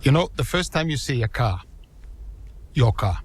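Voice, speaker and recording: A man playing a measured, weary racing team owner in a game, answering deliberately in documentary-style interviews. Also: medium pitch, big pitch swings, slight background noise, close to an everyday voice. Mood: proud. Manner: deliberate, pausing.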